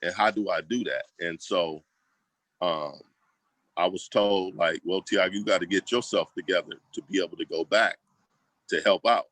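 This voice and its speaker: Low, bright-voiced man telling stories casually. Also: normal speed, no echo, poor audio. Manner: colloquial, slangy, acts out quoted remarks. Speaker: school program leader, guest. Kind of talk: casual conversational storytelling.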